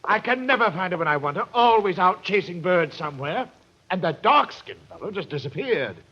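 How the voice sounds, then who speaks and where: Grandiose, querulous older artist: high husky voice, swooping delivery, muffled vintage radio drama. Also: male voice, lively intonation, a little fast, sharp tone, heavily acted. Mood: exasperated. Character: fretful, pompous older male painter, theatrical, secretive. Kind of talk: 1940s radio acting. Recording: dull, muffled old radio recording.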